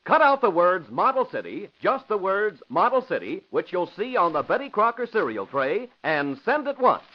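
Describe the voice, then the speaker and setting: Ad announcer, male: high and swooping, eager vintage radio sell, muffled broadcast. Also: sing-song, normal speed. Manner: eager, urgent, emphatic. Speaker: advertising announcer. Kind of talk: 1940s radio sales pitch.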